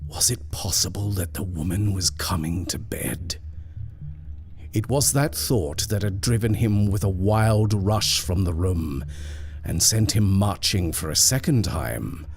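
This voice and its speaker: Slow, deep-voiced male storyteller. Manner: slow, measured, hushed at tense moments. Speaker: narrator. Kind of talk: scripted horror story reading.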